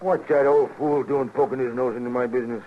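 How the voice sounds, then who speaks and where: Actor as blustering, hot-tempered frontier rancher. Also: male voice, medium pitch, fairly even intonation, fast, close to an everyday voice. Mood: indignant. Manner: blustering, threatening. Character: hot-tempered domineering old frontier rancher, bellowing father. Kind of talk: old-time radio western acting. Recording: muffled, noisy old radio drama recording.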